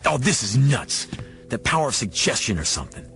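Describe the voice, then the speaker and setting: A man's voice: mid-range voice, sing-song, vocal fry, fairly bright tone, faint music behind. A man playing a slick but rattled American used-car salesman boss in a radio drama, slangy and exclamatory. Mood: sardonic, troubled. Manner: slangy, fast-talking, exclamatory.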